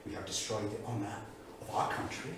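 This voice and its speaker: An actor playing a brooding English intellectual of the 1930s in a staged reading, speaking in a grave, rhetorical manner. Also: male voice, lowish voice, little pitch movement, fairly quick, lightly acted. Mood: solemn. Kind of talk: staged reading of a dramatic monologue. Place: small theatre studio. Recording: distant, echoey theatre recording with noise.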